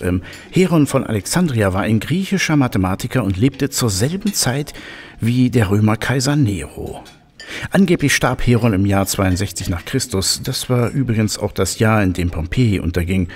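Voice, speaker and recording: An actor playing an enthusiastic, learned professor in an audio drama, lecturing with emphatic repetition. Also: male voice, lowish voice, lively intonation, moderate pace, darkish tone, natural voice. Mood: fascinated. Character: enthusiastic, learned male professor of old machines. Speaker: technical expert, professor. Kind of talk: German audio drama dialogue.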